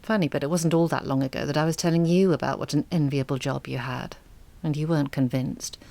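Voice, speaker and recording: An actress in an audio drama playing a reserved, selfless single mother, speaking in a measured, understated and articulate way. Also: deep voice, fairly even intonation, creaky, dark tone, no room sound. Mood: firm, polite.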